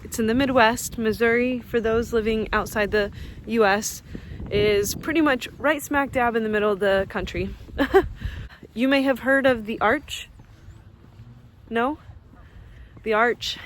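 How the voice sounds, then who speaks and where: A woman's voice: fairly high pitch, unhurried, a little hiss or hum. A young woman from Russia, whose first language is Russian, chatting casually to her viewers while walking outdoors on a campus and laughing often. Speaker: vlogger. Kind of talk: casual vlog walk-and-talk.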